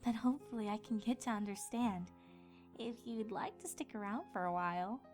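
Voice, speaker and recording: A woman as high-voiced earnest young pony princess. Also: brisk, darkish tone, soft background music, natural voice. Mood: bashful, curious. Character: young female pony princess, earnest, flustered, easily exasperated. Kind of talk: fan fiction audio drama acting.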